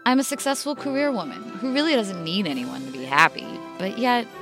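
A woman's voice: mid-range voice, sing-song, fairly quick, music in the background, close to an everyday voice. A woman playing an earnest career woman, the confessional heroine of a cheesy holiday romance parody. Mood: wistful, earnest. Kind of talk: parody film trailer dialogue.

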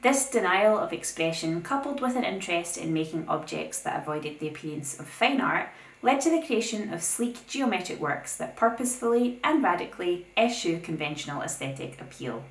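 A young woman hosting an art video, reading a scripted essay with opinionated, lively asides. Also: lowish voice, a little fast, echoey room, poor audio. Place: plain indoor room.

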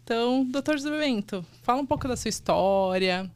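A middle-aged woman hosting a nostalgia podcast, warm and affirming, on a studio boom mic. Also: animated, brisk. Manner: warm, affirming, laughs along. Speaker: podcast host. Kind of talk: friendly interview hosting. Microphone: studio mic on boom arm.

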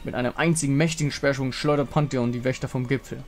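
A man's voice: mid-range voice, fairly even intonation, fast, soft background music. A man giving scripted dramatic narration, reading out a fantasy game story.

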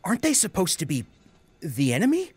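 Male narrator as bashful young female tech, light delivery, higher pitch, audiobook character. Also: wildly swooping pitch, a little fast, darkish tone, breathy edge, no echo, full character voice. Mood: curious.

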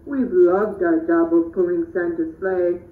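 Puppet-show horse, male performer: apologetic, deliberate, high voice, roomy and muffled from far off. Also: steady tone. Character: apologetic, weary talking horse resigning from work. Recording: roomy, distant, muffled phone-like audio.

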